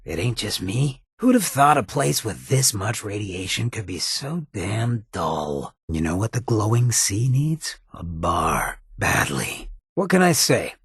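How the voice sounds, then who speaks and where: Streetwise male ghoul drifter, extremely husky rasp, cocky slangy drawl, roguish with a conscience, video game dialogue, dry studio sound. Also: fairly low pitch, big pitch swings, a little slow, few pauses, a bit bright, dry recording, heavily acted. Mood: casual, wry. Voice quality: very raspy, gravelly.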